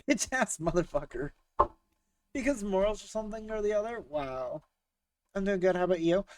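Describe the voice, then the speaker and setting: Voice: medium pitch, animated, moderate pace, darkish tone, dry recording, recorded from a distance. A streamer getting over a cold and sounding sniffly, chatting casually with viewers during a live game stream, swearing freely and laughing often. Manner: profane, joking, laughs often, reads chat aloud.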